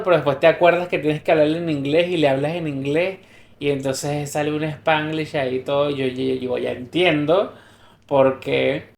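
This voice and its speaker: A man co-hosting a podcast, talking casually and thoughtfully, explaining ideas through examples and restarts. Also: a little high. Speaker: podcast co-host. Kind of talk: casual conversational podcast chat. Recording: roomy echo.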